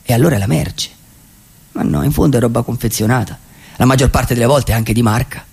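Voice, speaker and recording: Man acting a wistful, gravelly vagabond storyteller. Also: medium pitch, darkish tone. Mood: musing, indignant. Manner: wistful, musing, rhetorical questions, deliberate pauses. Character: wry, dreamy male vagabond storyteller, society's gentle outcast. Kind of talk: theatrical radio monologue, poetic storytelling.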